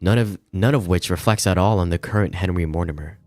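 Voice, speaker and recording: A man reading a visual novel aloud as dramatic narration, with measured, somber phrasing. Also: deep voice, a little mellow, no echo, soft background music.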